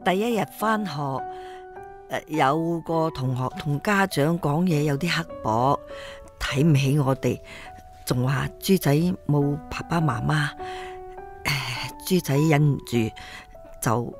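A woman's voice: low-pitched, slow pace. Worried grandma type, radio play, stammery and placating, music behind her. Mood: hesitant, troubled. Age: older. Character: worried, conciliatory older mother caring for her grandson. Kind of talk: radio drama acting.

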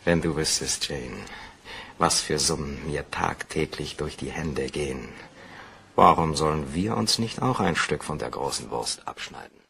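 An actor playing an embittered, determined bank cashier in a crime radio play, speaking in a brisk, commanding, husky way. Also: male voice, very deep voice, fairly bright tone, slight background noise, heavily acted. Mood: bitter, resentful. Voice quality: gravelly, husky.

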